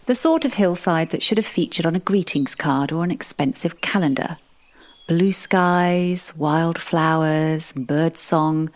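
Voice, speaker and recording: A woman's voice: on the low side, a little mellow. A woman narrating a comic audio drama in the first person, with a dry, sardonic manner.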